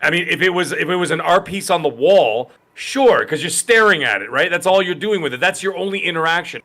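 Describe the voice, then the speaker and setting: A man's voice: fairly high pitch, animated, brisk, sharp tone. A man co-hosting a casual gaming podcast, arguing his point emphatically with repeated phrases. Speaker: podcast co-host.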